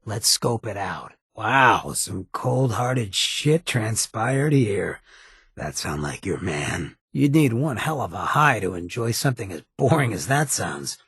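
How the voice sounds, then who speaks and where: An actor playing a swaggering, irreverent outlaw drifter in a video game, with a raspy, gravelly voice and a slangy, sardonic drawl. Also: male voice, fairly low pitch, big pitch swings, takes it slowly, a bit bright, no room sound, clean studio sound, full character voice. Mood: wary, grim. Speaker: video game companion character. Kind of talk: scripted game dialogue, wry banter.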